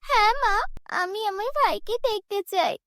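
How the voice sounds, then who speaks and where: A woman's voice: very high-pitched, moderate pace, a bit bright, dry recording, studio quality, pitched above the performer's own voice. A woman voicing a trusting, innocent little girl in a cartoon story, with a childlike manner. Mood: obedient.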